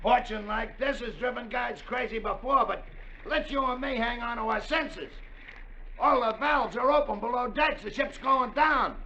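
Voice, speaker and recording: Very high-pitched pleading crook. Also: male voice, normal speed, sharp tone, exaggerated voice. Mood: urgent bargaining. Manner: urgent, wheedling. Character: cornered, pleading, frightened crooked crewman. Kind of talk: 1950s radio drama acting. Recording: muffled, noisy vintage radio recording.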